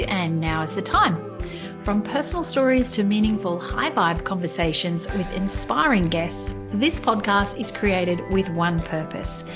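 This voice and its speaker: An enthusiastic Australian woman who hosts a podcast, with a mid-range voice. She moves from a polished intro to easy, friendly questions with a few fillers. Music plays clearly underneath her. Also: medium pitch. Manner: upbeat and enthusiastic, with some filler words in conversation. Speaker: podcast host and life coach. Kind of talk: scripted podcast intro, then conversational interview.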